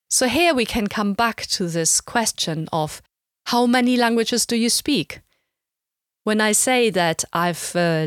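A woman whose first language is German, calmly explaining language levels in a reflective podcast monologue and slowing down to spell out terms. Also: on the low side, no room sound, studio quality. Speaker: language learner.

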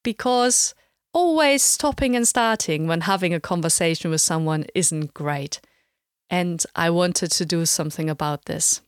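Measured woman, lowish voice, dry sound. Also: smooth, dry recording. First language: German. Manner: measured, explanatory, slows to spell out terms. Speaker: language learner. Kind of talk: reflective podcast narration.

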